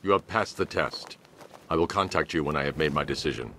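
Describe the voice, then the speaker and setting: An actor playing a grim, commanding armored vigilante in a video game, terse and measured with a gravelly voice. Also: male voice, bright, forceful tone, a little hiss or hum. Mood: stern, measured. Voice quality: very low gravelly voice. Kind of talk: video game cutscene acting.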